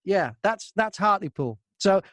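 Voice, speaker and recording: A man's voice: high-pitched, lively intonation, measured pace, fairly bright tone, no room sound, studio quality. British man telling stories in an interview. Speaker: audiobook narrator and broadcaster. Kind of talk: casual interview storytelling.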